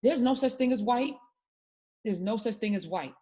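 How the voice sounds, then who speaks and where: A woman's voice: fairly high pitch, animated, normal speed, mellow, husky. A woman hosting a talk show, speaking with emphatic, passionate conviction in casual political discussion.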